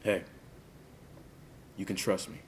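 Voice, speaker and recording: A man acting a calm police interrogator who coaxes and probes in a measured way. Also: slight background noise, natural voice. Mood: calm, probing.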